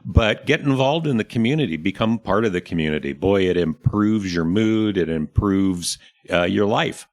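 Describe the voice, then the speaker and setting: Man, American accent, low voice, podcast host, casual conversational delivery. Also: animated, moderate pace, hardly any pauses, darkish tone.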